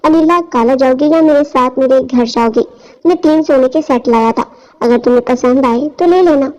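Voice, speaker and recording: A woman's voice: high-pitched, moderate pace, darkish tone, soft background music, close to an everyday voice. A woman voicing a coaxing, scheming older rich man in a scripted audio story. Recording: dull, lo-fi audio.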